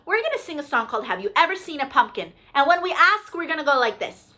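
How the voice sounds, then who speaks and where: Woman, children's storytime reader, high pitch, very lively intonation, sing-song, speaks directly to young listeners. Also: quick pace. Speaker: children's librarian leading a musical storytime. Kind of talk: storybook read-aloud and talk to young children. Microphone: video call.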